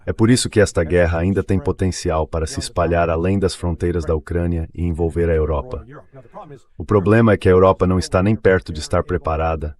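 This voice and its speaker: Really low male voice doing political commentary, ai dub sound. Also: darkish tone. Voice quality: synthetic dubbing voice. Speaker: commentator guest. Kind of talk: political analysis, translated voice-over.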